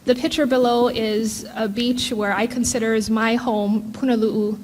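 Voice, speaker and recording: A woman's voice: on the high side, fairly even intonation, moderate pace, a little mellow. A middle-aged woman from Hawaii giving a conversational conference talk over slides, with frequent ums and self-corrections. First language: English. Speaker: keynote speaker, professor. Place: conference hall.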